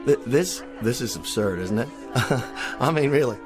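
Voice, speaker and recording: A male actor plays a vain western star in a radio drama. He is petulant and theatrical, swinging widely in pitch as he complains. Faint music runs underneath. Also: lively intonation, unhurried, natural voice. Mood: indignant, self-pitying. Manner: theatrical, exasperated, haughty.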